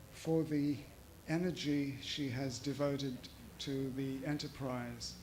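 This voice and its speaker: A man moderating a book presentation, introducing speakers in a formal, measured way with occasional fillers. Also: mid-range voice, darkish tone, slight background noise.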